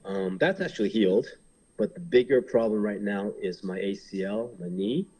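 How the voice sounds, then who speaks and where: A man's voice: fairly low pitch, moderate pace, dark tone, husky, poor audio. A man in his thirties from Hong Kong, a pro wrestler, talking casually in an interview and rambling with many fillers and restarts.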